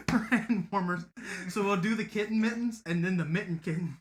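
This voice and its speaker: A man with a somewhat high voice chats casually, laying out show ideas in an offhand way. A faint hiss of noise runs underneath. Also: moderate pace. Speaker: podcast co-host. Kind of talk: casual chat.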